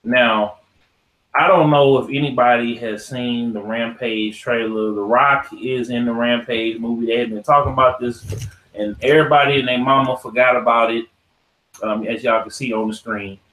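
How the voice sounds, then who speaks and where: A man's voice: mid-range voice, steady tone, moderate pace, fairly bright tone. An American man hosting a podcast, talking casually and opinionatedly in a slangy, profane, ranting manner. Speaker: podcast host. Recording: echoey untreated room.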